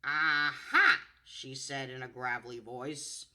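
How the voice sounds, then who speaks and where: Contralto woman voice actor, storybook reader, animated and bright. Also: unhurried. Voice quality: low contralto voice. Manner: expressive, playful. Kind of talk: storybook narration and chat.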